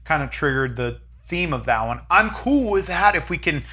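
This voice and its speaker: Animated, bright-voiced man hosting. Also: fairly high pitch, normal speed, husky. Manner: playful, rambling, joking. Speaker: host. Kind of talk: casual chat.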